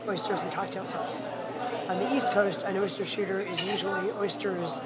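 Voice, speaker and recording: A hoarse woman hosting her own food vlog, chatting frankly about her meal while sitting in a seafood restaurant. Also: on the low side. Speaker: food vlogger. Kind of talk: casual restaurant review vlog. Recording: loud background noise.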